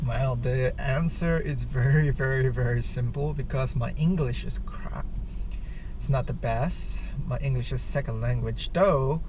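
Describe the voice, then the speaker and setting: A man's voice: mid-range voice, a little slow, a little mellow. A man whose first language is Japanese, rambling casually in a vlog on his way to work, often restarting and searching for words. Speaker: vlogger. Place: outdoors on the way to work, traffic nearby. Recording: street traffic noise behind. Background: car traffic.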